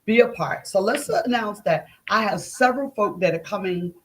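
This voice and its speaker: A woman's voice: mid-range voice, expressive, normal speed, fairly bright tone, a little hiss or hum. A middle-aged woman talking conversationally as an interview guest, with an emphatic, folksy storytelling manner. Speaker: playwright and director, interview guest.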